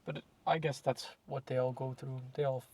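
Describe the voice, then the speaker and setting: A man's voice: medium pitch, flat delivery, fairly quick, darkish tone. A young man talking casually and reflectively in a podcast chat, with many fillers and self-corrections. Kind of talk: casual conversation.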